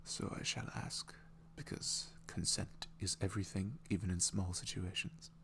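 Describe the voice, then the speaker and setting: A man's voice: low voice, level tone, moderate pace, vocal fry, a little hiss or hum. A man playing an adorable, lovestruck young boyfriend in an ASMR romance roleplay, giggly and gushing as he stumbles over words. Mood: tender, nervous.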